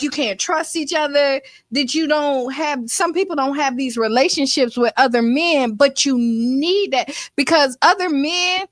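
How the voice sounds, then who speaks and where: A woman co-hosting a radio talk show, animated and chatty. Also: sits high, no echo, clean studio sound. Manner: lively, animated, laughing storyteller. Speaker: radio co-host. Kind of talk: casual radio chat. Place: radio studio.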